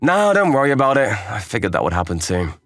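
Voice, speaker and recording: Man playing animated, easygoing young programmer. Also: on the low side, fast, dry recording. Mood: reassuring. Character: calm, kindly young male programmer, step-brother and mentor. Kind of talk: anime-style manga dub with inner narration.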